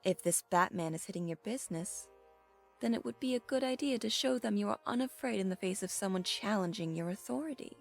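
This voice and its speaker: A woman plays a powerful man's scheming wife over faint music. Her mid-pitched voice is poised and deliberate, coaxing in an audio drama style. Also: gravelly. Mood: persuasive, calculating.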